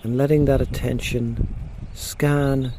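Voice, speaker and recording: Man, mindfulness guide, relaxed dark timbre, gentle slow instructions, long pauses, light nature ambience with birds. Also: mid-range voice, slight background noise. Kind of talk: guided body scan meditation. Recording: faint outdoor nature sounds behind. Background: birds and nature sounds.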